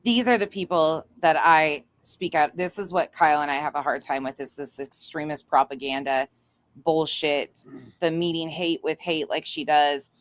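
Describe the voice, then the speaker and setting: Blunt, low-voiced woman livestreamer. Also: normal speed. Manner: blunt, indignant, heavily swearing. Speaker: livestream host. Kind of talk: livestream commentary reacting to another creator, chatting with viewers.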